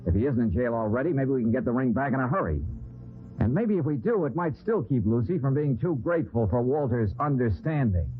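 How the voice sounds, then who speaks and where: An actor playing a wisecracking, confident detective hero in an old-time radio drama, wry and commanding. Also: male voice, mid-range voice, lively intonation, brisk, close to an everyday voice. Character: wisecracking, tough, confident male amateur sleuth. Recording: tinny old radio broadcast, music behind.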